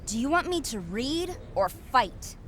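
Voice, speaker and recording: A woman's voice: sits high, sing-song, a little slow, slight background noise. An actress playing a confident, sassy young woman wrestler in a video game cutscene, cocky and blunt. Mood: defiant. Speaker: wrestler character.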